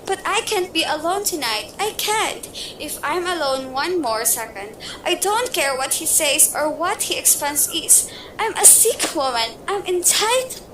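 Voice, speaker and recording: A woman's voice: high voice, big pitch swings, a little slow, noisy background. A young actress in a student radio play, voicing a fretful, demanding invalid woman who grows panicked. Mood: distraught. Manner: fretful, imperious, rising to panic. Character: frightened bedridden wealthy woman, demanding and nervous. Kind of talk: amateur radio play acting.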